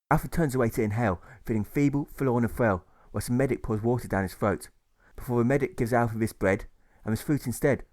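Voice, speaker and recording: A man reading a rhyming audiobook story in a rhythmic, emphatic storytelling manner. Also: medium pitch. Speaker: author reading own audiobook. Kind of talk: scripted rhyming narration.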